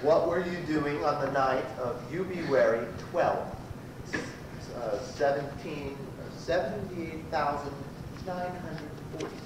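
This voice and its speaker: A man's voice: on the high side, slow pace. A man playing a blustering prosecuting lawyer in an amateur old-time radio comedy. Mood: officious. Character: blustering male prosecuting lawyer in a sci-fi courtroom spoof. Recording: echoey distant room, noisy amateur tape.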